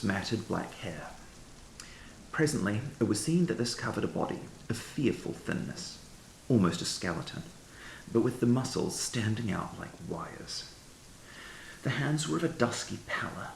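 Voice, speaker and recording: A man reading a literary ghost story as a narrator, in a measured, formal storytelling manner. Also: fairly low pitch, gravelly. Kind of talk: scripted literary audiobook narration. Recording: echoey room with faint hiss.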